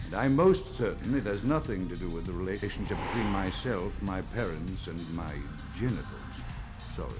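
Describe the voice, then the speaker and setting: An actor playing a pompous, morbid old vampire psychiatrist in a video game, with grandiloquent, brooding and precise diction. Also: male voice, deep voice. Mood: proud defiance. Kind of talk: theatrical monologue, video game voice acting.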